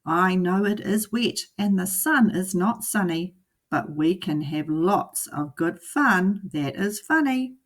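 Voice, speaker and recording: A woman voicing a playful, boastful male cat showman from a storybook, excited and coaxing. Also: lowish voice, slow pace. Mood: eager, coaxing.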